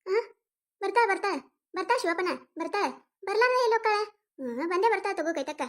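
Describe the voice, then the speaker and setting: A woman's voice: very high-pitched, lively intonation, brisk. A woman with a North Karnataka accent voicing chattering village women in a cartoon comedy. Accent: North Karnataka Kannada. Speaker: cartoon voice artist.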